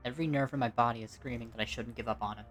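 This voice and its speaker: An amateur narrator reads a dramatic game story at home. The voice sits at a medium pitch and follows a script in storytelling fashion, with music behind it. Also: steady tone, racing. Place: at home, recording indoors.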